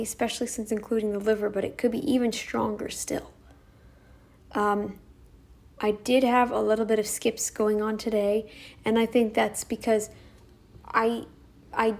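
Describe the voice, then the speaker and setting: A young woman vlogging casually to camera, her voice croaky as she talks in halting, rambling sentences full of restarts. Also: on the high side, darkish tone. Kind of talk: casual talking-head vlog.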